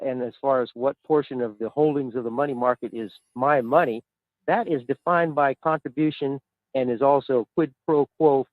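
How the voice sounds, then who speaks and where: Over a narrow, tinny phone line, a man makes an argument as a caller. His wording is formal and measured, almost legalistic, in a mid-pitched voice with no room sound. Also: no room sound. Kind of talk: argumentative explanation.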